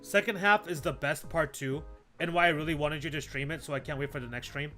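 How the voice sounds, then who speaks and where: A man with a fairly high voice streams a video game. He thinks aloud in slangy, repetitive phrases, talking casually to his chat. Game music is clearly audible behind him. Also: fairly quick, sharp tone. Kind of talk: casual livestream commentary, chatting with viewers.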